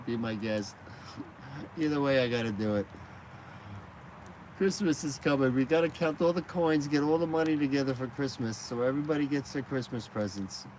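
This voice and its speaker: A man's voice: mid-range voice, normal speed, darkish tone. Chatty man streaming from an outdoor fire. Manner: rambling, chatty, laughs easily. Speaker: live streamer. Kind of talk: casual live-stream chat. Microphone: phone on a gimbal. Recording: noisy outdoor phone stream.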